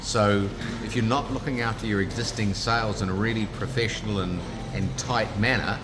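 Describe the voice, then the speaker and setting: A middle-aged man with a New Zealand accent gives a lively, salesman-style pitch in a fairly low voice. Music plays clearly behind him at a busy trade fair. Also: normal speed. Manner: upbeat, punchy salesman delivery. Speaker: guest, business founder. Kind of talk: casual interview pitch.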